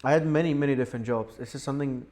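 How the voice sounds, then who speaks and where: A man's voice: mid-range voice, very fast. Syrian-born man telling his story. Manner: relaxed, storytelling, frequent fillers. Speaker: podcast guest. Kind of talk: casual podcast interview. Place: indoor podcast setup.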